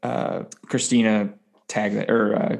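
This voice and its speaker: A man's voice: lowish voice, level tone, measured pace, creaky. A man from the American Midwest hosting a podcast, chatting casually with a joking, self-deprecating manner. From US Midwest (Ohio). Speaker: podcast host. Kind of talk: casual conversational interview.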